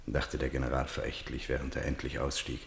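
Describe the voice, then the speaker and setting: A male narrator with an exceptionally deep bass voice. He reads scripted story prose for a radio play. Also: monotone, a little fast, vocal fry, a little hiss or hum.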